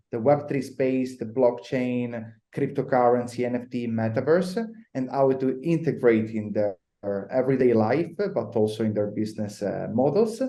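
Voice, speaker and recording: Italian-accented male guest, mellow timbre, calm explainer with fillers. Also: medium pitch. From Italy. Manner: measured explanatory with frequent uh fillers. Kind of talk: podcast interview.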